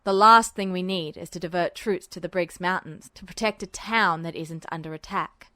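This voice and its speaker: A smug male officer who gives his orders with dry, teasing calm, as a woman puts him on by dropping her voice. The sound is smooth and clear, with a dry, close-miked feel and no room around it. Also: fairly low pitch, normal speed, no echo, voice pushed down. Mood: smug, unruffled. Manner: dry, teasing, calmly commanding. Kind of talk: podfic character voice.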